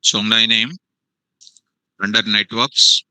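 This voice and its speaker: Lecturing male teacher with a bright, dry voice. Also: on the low side, little pitch movement, smooth, dry recording, rough recording. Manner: repeats phrases slowly for dictation. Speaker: programming teacher. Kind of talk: live classroom lecture. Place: classroom, also streamed to online students. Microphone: online meeting.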